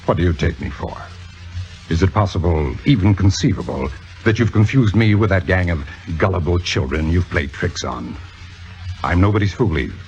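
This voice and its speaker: Suave, domineering radio-drama cynic, low and highly expressive, dry and precise, dull vintage recording. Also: male voice, moderate pace, faint music behind. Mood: cold, menacing. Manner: dry, sardonic, theatrical, precise. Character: cynical, suave, domineering male theater critic. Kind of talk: 1950s radio drama acting.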